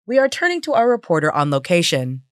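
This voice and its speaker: A woman playing a lively morning news anchor in an audio drama parody broadcast. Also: lowish voice, quick pace, dry recording, clean studio sound. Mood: bright, newsy.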